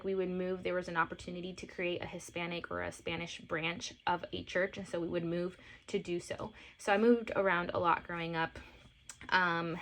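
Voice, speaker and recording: A woman's voice: on the low side, a bit bright. A woman in her late twenties talking to camera in a casual vlog, gushing and a little teary, with many fillers. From born in Costa Rica, grew up in Miami. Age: 20s. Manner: emotional, gushing, heavy on fillers. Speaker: lifestyle and mom vlogger.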